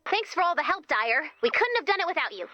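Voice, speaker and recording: A woman's voice: very high-pitched, very animated, fast, bright, forceful tone, no room sound, lo-fi sound, heavily acted. A woman voicing a perky, sassy robot assistant with sarcastic, quippy delivery in a video game. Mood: wry. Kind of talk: video game character voice lines.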